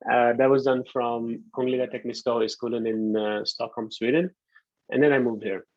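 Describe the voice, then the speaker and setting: A man from Pakistan in his thirties giving a relaxed online university lecture, often pausing with ums. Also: medium pitch, dry recording. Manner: relaxed, hesitant with frequent ums. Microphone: computer mic on a video call. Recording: dull lo-fi video call audio.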